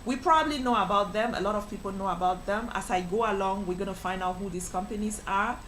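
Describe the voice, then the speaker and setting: A woman's voice: mid-range voice, quick pace, fairly bright tone, slight background noise, low-quality recording. A woman from Cameroon, a financial professional, answering interview questions in an earnest, explanatory way with many fillers.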